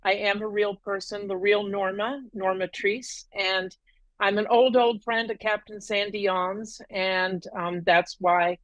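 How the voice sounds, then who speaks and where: A woman's voice: medium pitch, flat delivery, a little slow, no echo, rough recording. A middle-aged woman, a guest on a video call, telling her story at length with many ums and uhs. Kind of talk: casual interview. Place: room at home, green wall.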